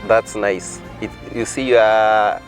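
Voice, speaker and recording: A man's voice: on the low side, fairly even intonation, takes it slowly, faint music behind, poor audio. A man with a Kenyan accent interviewing a guest, asking long, encouraging questions.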